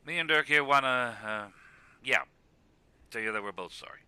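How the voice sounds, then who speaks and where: A man's voice: medium pitch, animated, moderate pace, sharp tone, clear, resonant tone, dry recording. A man playing a brash wrestling commentator in an amateur web cartoon. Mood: casual. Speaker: sports commentator.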